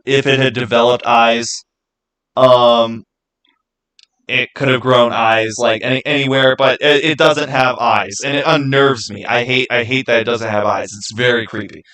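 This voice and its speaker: Male livestream host, mid-pitched voice, casual and halting, doubles back on his words while chatting to viewers. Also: fairly bright tone. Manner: halting, repeats words and phrases.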